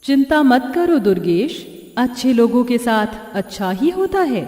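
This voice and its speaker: A woman as a serene, high-voiced mother goddess. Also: sing-song, measured pace, warm tone, roomy sound, long reverb tail. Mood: pleased, reassuring. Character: gracious, benevolent mother goddess granting boons. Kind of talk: animated Hindi moral story dialogue.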